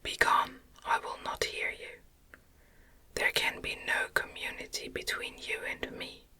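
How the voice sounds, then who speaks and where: A narrator voicing a furious, scornful male creator of a monster in a breathy ASMR whisper, with archaic, accusing speech. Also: measured pace, pushed and bright. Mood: enraged. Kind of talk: whispered ASMR audiobook acting.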